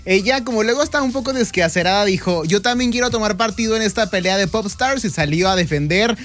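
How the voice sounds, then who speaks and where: A Mexican man co-hosts a gossip radio show, bantering in a teasing, playful way. His voice sits high, with a faint music bed playing underneath. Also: quick pace, fairly bright tone. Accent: Mexican Spanish. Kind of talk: casual gossip radio chat.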